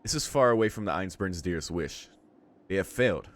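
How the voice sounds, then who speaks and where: A man hosting a visual novel read-along, switching between narrating the text aloud and casual, halting reaction commentary. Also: low voice, expressive. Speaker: let's-play host reading a visual novel aloud and reacting.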